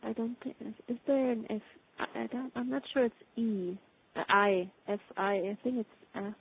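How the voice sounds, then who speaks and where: A woman's voice: a little high, steady tone, normal speed, creaky, a little hiss or hum. A woman chatting casually on a work call, hesitant and often trailing off mid-thought. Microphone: phone or conference call. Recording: muffled narrow phone-like call.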